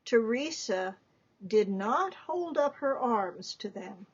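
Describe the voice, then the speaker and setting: Woman reading a story dramatically, really slow, highish voice, bit of a dull recording. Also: lively intonation, husky. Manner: deliberate, theatrical, emphatic pauses. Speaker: author reading from own book.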